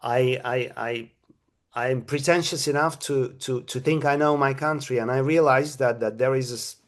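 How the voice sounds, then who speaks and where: A man's voice: mid-range voice, steady tone, normal speed. A middle-aged man, speaking at a press conference as a theatre director, who stops and restarts often and repeats his words. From Bulgaria. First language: Bulgarian.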